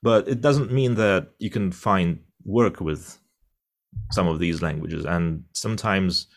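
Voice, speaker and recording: Deep-voiced man, thoughtful. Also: slow pace. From Russia. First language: Russian. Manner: thoughtful, many fillers and restarts. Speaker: interpreter. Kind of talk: conversational interview answer.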